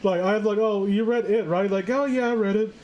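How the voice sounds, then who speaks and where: A woman's voice: mid-range voice, brisk, warm tone. A woman hosting a casual comedic reading, joking crudely and irreverently as she riffs with friends. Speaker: host of a story-reading show.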